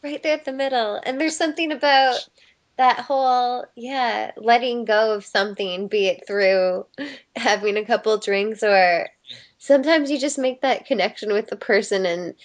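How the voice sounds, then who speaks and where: A woman's voice: fairly high pitch, normal speed. A woman being interviewed as a guest, talking in a thoughtful, friendly way and laughing often. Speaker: voice actress guest. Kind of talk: casual interview chat.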